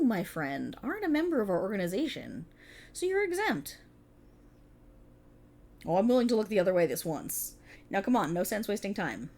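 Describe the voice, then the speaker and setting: A woman's voice: mid-range voice, a little mellow. A woman playing a prickly, exasperated police officer in a scripted ASMR roleplay, talking in a wry, bossy, explanatory way to an unheard partner. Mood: urgent, persuading. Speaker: voice actor in audio roleplay. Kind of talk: scripted ASMR roleplay, one-sided dialogue.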